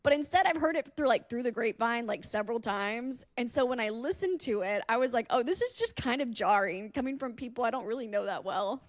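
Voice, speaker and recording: A woman's voice: on the high side, animated, a little fast, hardly any pauses, no echo. Young woman in casual podcast chat, dry close sound. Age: young adult. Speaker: podcast co-host and radio morning show host.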